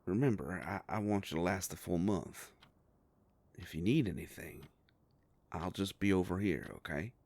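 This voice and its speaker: A man acts out a werewolf private investigator for an intimate roleplay audio. His voice sits very low with a heavy gravelly fry, recorded close and dry. He comes across as an easygoing, protective boss with a folksy turn of phrase. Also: moderate pace, a little mellow, no echo. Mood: reassuring, businesslike.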